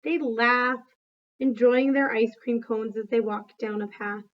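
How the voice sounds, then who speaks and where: High-voiced woman reading a story aloud. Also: steady tone, a little slow, muffled recording. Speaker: read-aloud reader. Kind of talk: expressive storybook reading with brief asides.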